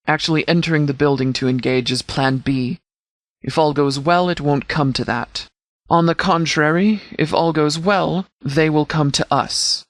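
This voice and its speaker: A man voicing a stern military commander in a video game, speaking formally and with measured authority as he briefs. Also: fairly high pitch, dry recording, natural voice. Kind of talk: scripted game dialogue, mission briefing.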